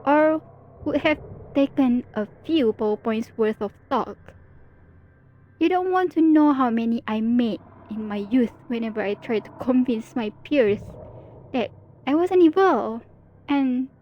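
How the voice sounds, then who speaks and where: A woman's voice: high-pitched, unhurried, lo-fi sound, a little hiss or hum. A woman performing an ASMR roleplay as a teasing female villain talking to her rival hero. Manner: teasing, playful, confiding.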